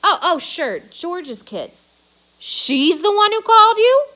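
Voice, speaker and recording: A woman's voice: extremely high pitch, sing-song, slow, sharp tone, pitched above the performer's own voice, heavily acted. A woman voicing a blustering, opportunistic male mall owner with brash salesman talk, in a children's novel read aloud. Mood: wary.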